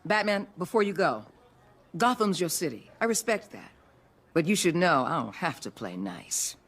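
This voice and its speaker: An actor playing a domineering, territorial government agent in a video game, menacing but controlled, with a raspy voice. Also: male voice, on the high side, very animated, normal speed, a bit bright, exaggerated voice. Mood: threatening.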